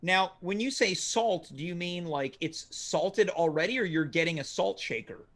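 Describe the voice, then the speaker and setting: A man's voice: high voice, lively intonation, moderate pace, a bit bright. A man hosting a comedy show, reading a menu aloud and grilling his guest in a dry, mock-serious way. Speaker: show host. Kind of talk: comedic interview.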